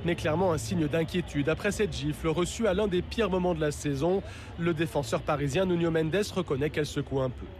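A man's voice: fairly high pitch, brisk, a little mellow. A man giving a scripted radio sports report.

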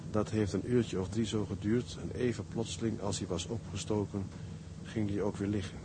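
An actor playing a tender young seaman, narrating his letters home in a measured, intimate radio drama style. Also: lowish voice, fairly even intonation, background noise, natural voice. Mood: tender, reflective.

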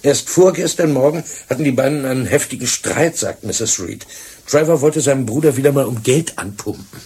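An actor playing a businesslike, dutiful police sergeant with a clipped, factual manner in a German radio crime drama. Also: male voice, medium pitch, moderate pace, a little mellow, husky. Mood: matter-of-fact. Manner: clipped, factual, deferential to superior.

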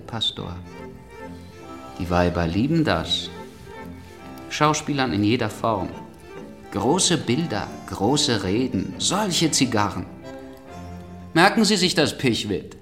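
An ailing, middle-aged aristocrat in a literary radio play. He is sardonic and agitated, his pitch leaping theatrically as he taunts and complains. Soft music sits behind him.

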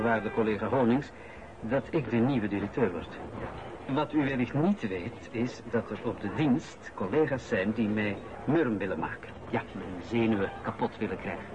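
A director, played by a man speaks in a measured, insinuating manner in a radio drama. His mid-pitched voice is muffled, with clear noise behind it. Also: natural voice. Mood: pointed, insinuating. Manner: deliberate, insinuating. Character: incoming male director, smooth, deliberate, pointedly probing. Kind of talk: radio play acting.

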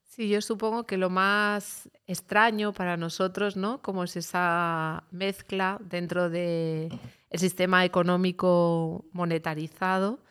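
A woman with a low, smooth voice hosting a community podcast. She leads the talk with questions, often tagging her sentences with "¿no?" to draw in her guests. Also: level tone, unhurried, a bit bright. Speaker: podcast host. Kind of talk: casual podcast conversation.

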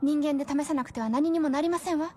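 An actress playing a gravely ill, devoted wife in a radio drama, serene yet eager, with genteel old-fashioned polite speech. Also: female voice, sits high, quick pace, darkish tone, lightly acted. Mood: eager, fervent.

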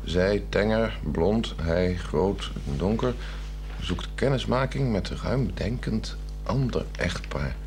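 Casting: stolid, irritable middle-aged husband, radio drama. Fairly low, condescending, grumbling, on hummy vintage tape. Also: male voice, animated, takes it slowly, darkish tone. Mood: reading, puzzled. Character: stolid, dull, irritable newspaper-reading husband. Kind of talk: 1960s Dutch radio drama acting. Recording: noisy 1960s radio recording with hum.

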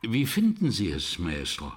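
An actor playing a guarded, courteous high official showing off a painting in a radio crime drama, with a husky voice and formal, measured manner. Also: male voice, huge pitch swings, exaggerated voice.

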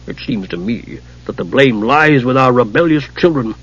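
A man's voice: mid-range voice, moderate pace, fairly bright tone, husky, lo-fi sound. A man voicing a tall, horned, contemptuous bull god with a growl in a fantasy audiobook. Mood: growling blame.

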